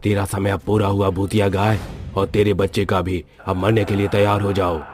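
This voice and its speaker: A man's voice: lowish voice, flat delivery, moderate pace, a little mellow, slight background noise, lightly acted. A man voicing a stern old village exorcist in a horror story, grave and threatening.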